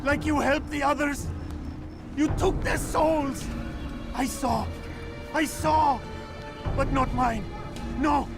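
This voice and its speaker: A frantic, very high-pitched terrified patient. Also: male voice, steady tone, takes it slowly, pauses often, exaggerated voice. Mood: terrified defiance. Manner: frantic, shouting pleas and accusations. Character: terrified, defiant male patient of a medieval hospital. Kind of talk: video game cutscene acting. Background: loud music.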